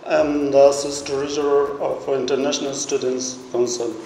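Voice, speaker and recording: A young man from Afghanistan introduces himself in a mellow, evenly pitched voice. The hall is echoey, with faint music behind. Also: flat delivery, normal speed, creaky, a little hiss or hum. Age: young adult. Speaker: student council assistant treasurer. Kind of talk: self-introduction to camera.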